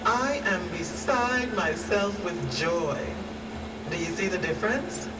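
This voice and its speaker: A woman acting as a deliberate, reflective narrator in a scripted radio drama. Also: fairly low pitch, lively intonation, very noisy. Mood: approving. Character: solemn female narrator reflecting on children's suffering. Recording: echoey, distant, loud music underneath.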